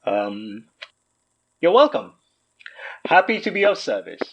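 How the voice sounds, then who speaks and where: A man's voice: fairly high pitch, sing-song, slow pace, husky, exaggerated voice. A man playing a courteous, smug mystic guru in a horror audio drama, with a genteel, theatrical manner. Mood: affable. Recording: slightly dull sound.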